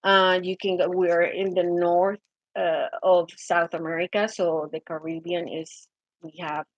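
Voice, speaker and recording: A woman's voice: lowish voice, level tone, a little slow, no echo, muffled recording. A middle-aged woman from Venezuela with a Venezuelan Spanish accent, telling her own story with many fillers and restarts. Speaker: interviewee. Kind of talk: personal account of her country.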